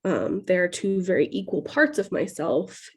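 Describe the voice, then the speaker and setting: A woman from California speaks candidly as a podcast guest. Her talk is halting and loose, packed with "like" and "um," and she laughs often as she goes. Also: on the low side, slightly rough. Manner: hesitant, full of "like" and "um", laughing often. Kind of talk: candid casual interview talk.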